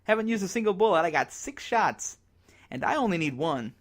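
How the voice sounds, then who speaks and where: An actor playing a bitter, despairing emergency radio broadcaster in a zombie apocalypse drama, halting, sardonic and self-berating in his monologue. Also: high-pitched, big pitch swings. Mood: quiet, resigned dread. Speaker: radio announcer.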